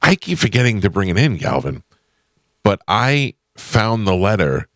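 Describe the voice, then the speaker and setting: A man with a very low voice hosts a morning radio show, bantering and telling funny stories. His voice is close and dry on a studio microphone. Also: very animated, moderate pace, a bit bright, no room sound. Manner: joking, storytelling.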